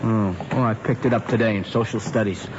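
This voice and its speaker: A man's voice: fairly low pitch, brisk, close to an everyday voice. An actor playing an eager, restless American teenage boy with an insistent, slangy way of talking in a family drama. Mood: sullen, wry. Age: young adult. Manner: insistent, excitable. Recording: muffled, noisy old drama recording.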